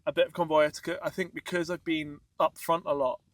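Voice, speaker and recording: A man's voice: fairly high pitch, flat delivery, quick pace, no room sound. A man chatting casually as a podcast host about a hobby, recorded outdoors. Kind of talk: casual group chat about off-roading. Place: outdoors in the desert by a campfire at dusk.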